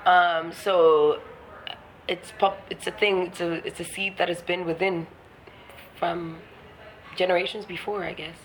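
Woman from ghana, low voice, frank and reflective, faint noise behind. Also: little pitch movement, unhurried, long pauses, low-quality recording. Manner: frank, reflective, laughs often, swears casually. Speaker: guest artist. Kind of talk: casual interview talk.

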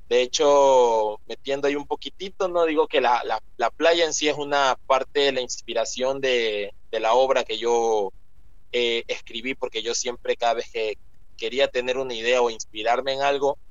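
A man's voice: mid-range voice, no echo. A young man from Ecuador, a guest author talking casually and rambling with many fillers and restarts. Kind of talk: casual interview talk. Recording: muffled lo-fi sound.

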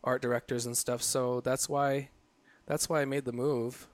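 A man in his late thirties, a photographer, talking in a relaxed interview, reflective and rambling with many fillers and restarts. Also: mid-range voice, normal speed. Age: 30s. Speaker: guest, photographer. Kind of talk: casual interview conversation.

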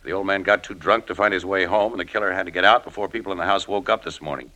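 An actor playing an easygoing, slangy press photographer who plays sleuth in an old-time radio crime drama. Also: male voice, low voice, fairly even intonation, very fast, sharp tone, rough recording. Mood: sure, deducing. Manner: casual, slangy. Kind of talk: 1940s-50s radio drama acting.

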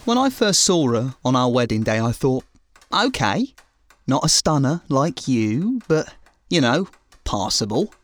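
An actor playing a rueful, disgruntled husband in a comic audio drama, grumbling about married life. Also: male voice, mid-range voice, sing-song, unhurried, no echo. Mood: indignant.

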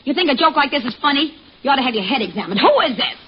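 An actress playing a bitter, scolding, sarcastic housewife in an old-time radio drama. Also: female voice, high voice, animated, very fast. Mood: irritated. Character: nagging bitter housewife, sharp-tongued and fed up. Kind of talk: 1940s radio drama acting. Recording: old muffled radio recording, faint hiss.

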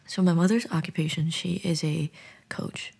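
Soft whispery low-voiced woman. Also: fairly even intonation, moderate pace. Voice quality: breathy, often whispering. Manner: intimate, reflective, gentle. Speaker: storyteller sharing personal experiences. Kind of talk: soft-spoken personal storytelling.

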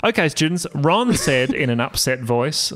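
Animated, higher-pitched man, dryly teasing. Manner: dry, teasing, quick to laugh. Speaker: guest reading aloud and commenting. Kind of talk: joking podcast chat and mock-serious reading aloud.

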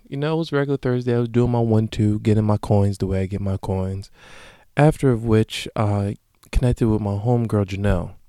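A man in his late twenties hosting a solo podcast, talking casually and profanely with sudden loud bursts. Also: fairly low pitch, no echo, studio quality. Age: late 20s. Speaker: podcast host.

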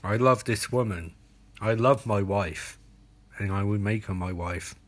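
Earnest, slightly slow lovestruck husband voiced by a male storyteller, deep and rough, solemn melodrama. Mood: devoted.

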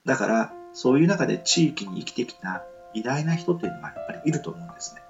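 A man's voice: mid-range voice, lively intonation, fast. A man hosting a solo podcast, talking to listeners in a reflective, polite and rambling casual monologue. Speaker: solo podcast and radio show host. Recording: muffled lo-fi, soft guitar music behind. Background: plucked guitar music.

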